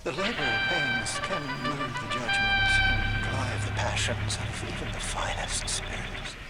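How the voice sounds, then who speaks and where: A theatrical, unhinged older artist, wildly swooping. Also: male voice, medium pitch, huge pitch swings, a little slow, loud music behind the voice, poor audio. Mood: contrite, grandiose. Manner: theatrical, florid, grandly pompous. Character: flamboyant, unhinged older male artist, grandiose and volatile. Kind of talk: video game villain acting.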